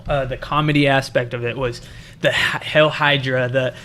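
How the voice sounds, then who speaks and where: A man hosting a casual film discussion in an excitable, stammering way, often restarting his phrases. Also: medium pitch, moderate pace, slight background noise. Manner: excitable, stammering with repeated starts. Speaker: host of a film review discussion. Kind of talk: casual chat.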